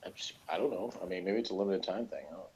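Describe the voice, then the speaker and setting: A man's voice: sits low, rapid-fire, a little mellow, slight background noise. A man in casual conversation, talking in an offhand, sardonic way. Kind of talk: casual chat. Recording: dull lo-fi recording.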